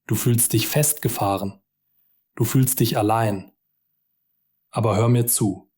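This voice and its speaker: A man tells a simple teaching story for German learners at a very slow, unhurried pace. Every sentence is short and deliberate. He turns to the listener often and coaxes them along. His voice sits in a middle pitch. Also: darkish tone. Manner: deliberate, encouraging direct address to the listener.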